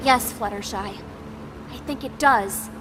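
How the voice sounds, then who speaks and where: A woman's voice: medium pitch, a little slow, noisy background, close to an everyday voice. A woman voicing a young, resolute pony princess in a dramatic fan fiction reading, earnest and pleading, ready to sacrifice herself. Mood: grim resolve.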